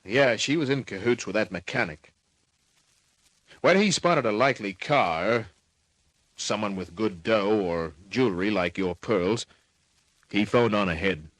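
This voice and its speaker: A man's voice: on the low side, a little slow, long pauses, fairly bright tone, no room sound, poor audio. A man playing a tough, wisecracking private detective, narrating an old-time radio crime drama in terse slang. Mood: matter-of-fact, wry. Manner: terse, slangy, hardboiled delivery. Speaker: lead actor and narrator. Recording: dry old radio recording.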